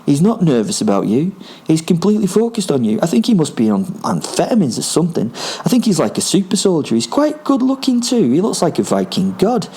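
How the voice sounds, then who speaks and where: A grumpy, bloodthirsty spirit werewolf from a story, voiced by a man. The pitch is lifted and swoops upward as he swears and goads with heavy emphasis. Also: wildly swooping pitch, brisk, mellow, slightly rough, full character voice. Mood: awed, amused.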